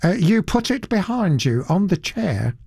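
A man's voice: high-pitched, big pitch swings, a little slow, vocal fry, darkish tone, slightly rough, no echo, studio quality, voice pushed up, exaggerated voice. A man voicing a cheerful, fussy middle-aged husband who dotes on his wife.

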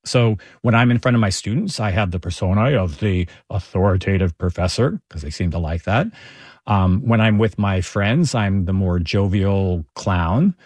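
A middle-aged man from Texas, a professor, talking thoughtfully as an interview guest, with measured phrasing and small restarts. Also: deep voice, a little mellow, dry recording. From Texas, Houston. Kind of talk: interview guest, reflective storytelling.

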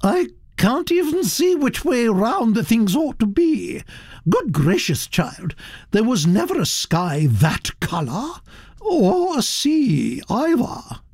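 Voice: mid-range voice, big pitch swings, slow, darkish tone, breathy edge, dry recording, studio quality, voice pushed up, full character voice. A narrator voicing a blunt, gossipy elderly duchess in an audiobook, her voice lifted well above the narrator's own. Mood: disapproving. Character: imperious elderly aristocratic duchess. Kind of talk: audiobook character voice.

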